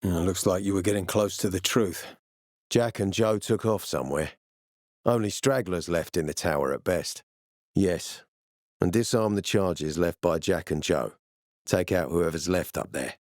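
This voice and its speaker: Gruff, weary army officer for a video game: low, dry close sound, measured and authoritative. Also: male voice, a little mellow, no room sound. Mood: commanding, measured. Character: gruff, weary military officer, commanding, later a regretful father. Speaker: game character voice actor.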